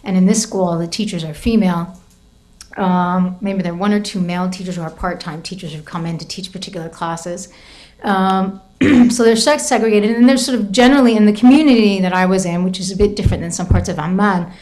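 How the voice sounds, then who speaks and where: Woman lecturing about her book, lots of "kind of" and "sort of", mid-pitch voice. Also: steady tone, brisk, darkish tone. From United States. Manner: hedging, fillers, restarts, reads quotes from her book. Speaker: author giving a book talk. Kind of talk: academic lecture with anecdotes.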